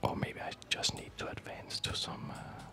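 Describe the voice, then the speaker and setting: A person whispering slow, breathy ASMR commentary over a video game, musing playfully and hesitantly.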